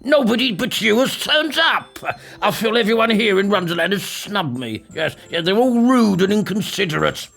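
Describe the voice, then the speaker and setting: A man voicing a scatty, childish, excitable male storybook eccentric who stammers and repeats words frantically. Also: sits high, moderate pace, vocal fry, few pauses, soft background music, voice pushed up, exaggerated voice. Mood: petulant.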